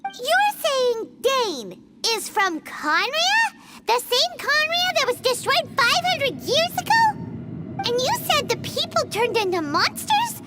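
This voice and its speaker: A woman voicing a tiny, childlike fairy companion in a video game, excitable and naive, with exaggerated delivery. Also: slow, very noisy, heavily acted. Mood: baffled. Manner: exaggerated, squeaky, refers to herself by name. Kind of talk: cartoonish video game voice acting. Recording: loud music behind voice.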